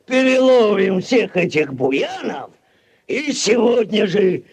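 Vintage radio drama headman: pompous village boss, slow and exclamatory, highly animated intonation. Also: full character voice. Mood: excited, bossy. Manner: blustering, exclamatory. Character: blustering, bossy middle-aged village headman. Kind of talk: 1940s Soviet radio acting.

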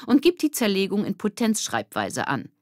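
A woman's voice: mid-range voice, huge pitch swings, normal speed, darkish tone, no echo, studio quality, pitched above the performer's own voice. Woman putting on a strict female maths teacher. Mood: stern. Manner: stern, commanding.

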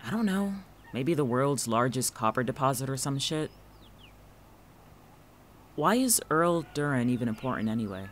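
A man's voice: medium pitch, big pitch swings, moderate pace, long pauses, lightly acted. Man acting dry, sarcastic college student, noisy background. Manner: dry, curt, sardonic. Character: Vincent, guarded, sarcastic male college pre-med student. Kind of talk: fiction podcast acting.

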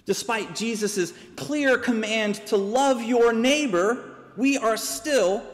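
A young American man, a pastor, preaching a sermon from a pulpit with measured, deliberate pauses. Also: very high voice. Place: large church sanctuary, preaching from a pulpit. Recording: long church reverb.

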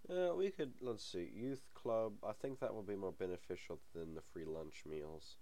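A man's voice: sits low, normal speed, warm tone. A young man hosting a gaming channel, talking casually over a game. He has a cold and the sniffles, and he rambles with many ums and small chuckles. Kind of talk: casual let's-play commentary.

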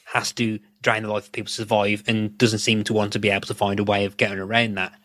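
A man's voice: on the low side, little pitch movement, fast, bright, forceful tone, no echo, clean studio sound. A British man hosting a podcast, casually discussing a television episode. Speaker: podcast host.

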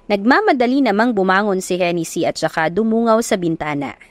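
A woman's voice: medium pitch, lively intonation, fairly quick, no room sound. Female audiobook narrator with a Filipino accent, mid-range voice, reading a scripted love story, very little room sound.

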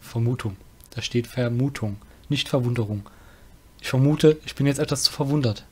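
A man's voice: medium pitch, normal speed, breathy edge. A man reading scripted fantasy narration as an audio drama narrator, breaking off to correct himself.

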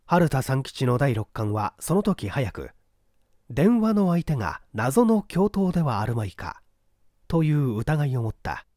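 A man's voice: mid-range voice, sing-song, unhurried, dark tone, no room sound, studio quality. A man reading mystery fiction as scripted, theatrical audiobook narration. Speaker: audiobook reader, stage actor.

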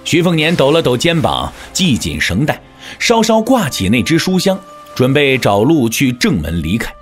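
A man narrating an audio drama as scripted storytelling, in a measured, theatrical manner. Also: medium pitch, wildly swooping pitch, soft background music.

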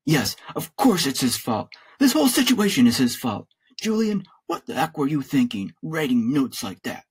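A stern father acted out by a man reading a story, husky and firm. His pitch moves a lot as he reproves, exasperated and in charge. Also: big pitch swings, moderate pace, full character voice.

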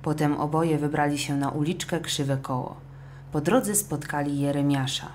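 A woman narrating a scripted children's audio story in an expressive, dramatic way. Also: deep voice, normal speed, slight background noise.

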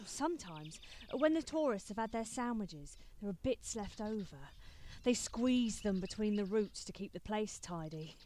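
A woman playing a husky, bitter vagrant woman. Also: medium pitch, expressive, a little slow, mellow, a little hiss or hum, lightly acted. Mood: guarded, hungry. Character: destitute, bitter vagrant woman, once well-off. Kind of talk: radio play acting.

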